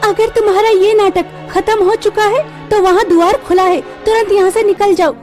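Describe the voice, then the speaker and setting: A woman's voice: very high-pitched, fairly even intonation, normal speed, music in the background. A woman playing a spiteful, scornful aunt who accuses and bullies in a melodramatic cartoon story. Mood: scornful, angry. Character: spiteful scolding aunt, cruel household bully.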